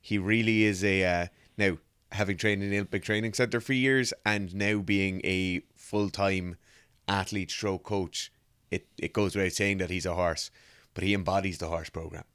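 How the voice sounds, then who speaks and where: A man co-hosting a strength sports show, giving measured, analytical breakdowns of lifting technique in a casual way. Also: sits low, steady tone, a bit bright, clear, resonant tone, no echo, studio quality. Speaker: co-host.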